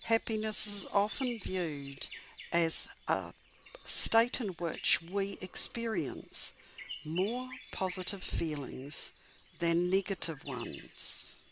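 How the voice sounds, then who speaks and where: A woman guides a meditation in a somewhat low voice. She speaks very slowly and gently, leaving soothing pauses between phrases. Birdsong and clear background noise sit behind her. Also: animated. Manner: measured, gentle, soothing delivery with long pauses. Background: birdsong and chirping.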